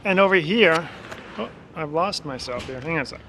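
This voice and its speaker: A man's voice: fairly high pitch, expressive, normal speed, pushed and bright, a little hiss or hum. A man hosting a casual podcast outdoors on campus steps, upbeat and joking as he asks his guests questions. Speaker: podcast host.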